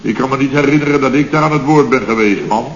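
An actor playing a blustering, aggrieved middle-aged boss of a building firm in a sarcastic, grumbling radio comedy style. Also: male voice, medium pitch, fast, lightly acted. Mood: indignant sarcasm. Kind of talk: Dutch radio comedy acting, circa 1970. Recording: dull, noisy, roomy old radio recording.

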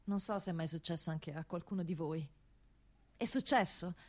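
A woman from Rome, an actress delivering a narrative stage monologue deliberately, phrase by phrase with pauses. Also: on the low side. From Rome, Italy.

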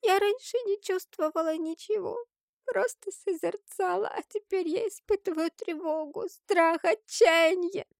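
A small, high-pitched girl from a fantasy storybook played by a woman narrator. She is soft, earnest and full of emotion. She carries ancient power but sounds anxious and sorrowful. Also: normal speed, no echo, clean studio sound. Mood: anxious, despairing.

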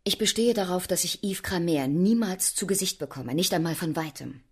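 Husky, imperious woman. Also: on the low side, lively intonation, normal speed. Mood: demanding. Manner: imperious, formal. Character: demanding, embittered woman dictating her conditions. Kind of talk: German radio drama acting.

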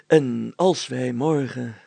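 A man's voice: mid-range voice, slow, creaky, dark tone, husky, dry recording, full character voice. A man voicing a pompous, proud village mayor in solemn, declamatory and grandly formal storybook dialogue. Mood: eager, commanding.

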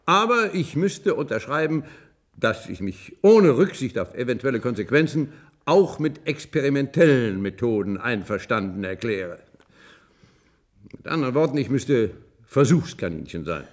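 An actor playing a proud, bitter, ailing old ex-surgeon in a radio play, earnest and stubborn, hesitant then insistent. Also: male voice, medium pitch, very animated, moderate pace. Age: older. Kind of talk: German science-fiction radio play acting.

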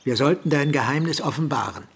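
Radio play role: remorseful older scientist, very breathy and husky, animated range, halting confessions. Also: male voice, on the high side, full character voice. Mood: confessing. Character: guilt-ridden older male scientist, earnest and visionary. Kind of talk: German radio drama acting.

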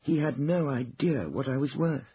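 Man voicing an indignant, high-pitched woman, husky. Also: big pitch swings, fairly quick, highs cut off, exaggerated voice. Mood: firm. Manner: insistent, emphatic. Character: firm, indignant divorced woman defending herself. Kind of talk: acted audiobook dialogue.

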